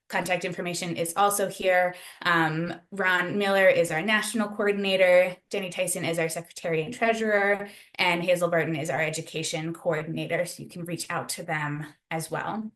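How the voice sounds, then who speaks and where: A woman presents a webinar on a video call, answering questions in a friendly, measured way with frequent ums. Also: on the low side, steady tone, a bit bright.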